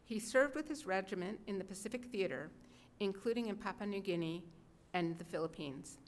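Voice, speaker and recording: A woman's voice: mid-range voice, brisk. A middle-aged woman reads scripted biographical narration at a podium microphone in an indoor event room. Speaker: narrator introducing letters. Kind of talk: scripted narration, read aloud. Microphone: gooseneck podium microphone. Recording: live room recording with background noise.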